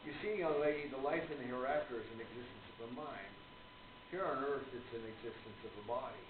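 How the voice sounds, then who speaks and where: A man playing a courteous, earnest gentleman, echoey lo-fi recording. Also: medium pitch, fast, natural voice. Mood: devout, earnest. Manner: formal, courteous, lecturing. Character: earnest, genteel older gentleman author, devout spiritualist. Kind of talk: radio play acting. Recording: lo-fi, echoey room, noisy.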